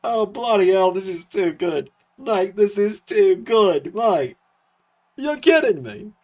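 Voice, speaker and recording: A man's voice: high voice, lively intonation, takes it slowly, darkish tone. A man voicing a gleeful, cocky, mocking wrestler in a video game story, with a matey, gloating manner. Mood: amused gloating.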